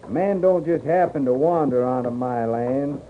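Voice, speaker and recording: An actor playing a hostile, suspicious horse thief guarding his land, with a rural American accent and a curt, gruff manner, in 1950s Western radio style. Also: medium pitch, normal speed, natural voice. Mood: suspicious, grudging. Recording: muffled, noisy 1950s radio recording.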